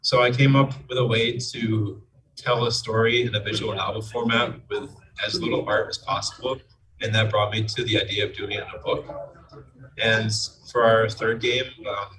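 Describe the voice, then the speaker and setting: A man's voice: on the low side, level tone, normal speed. A man explaining his work as a game programmer and writer in an interview. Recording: distant mic with crowd chatter behind.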